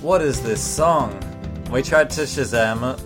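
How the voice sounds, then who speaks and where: A man's voice: medium pitch, measured pace, music in the background. A man chatting casually and jokingly as a podcast co-host. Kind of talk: casual comic chat with co-host.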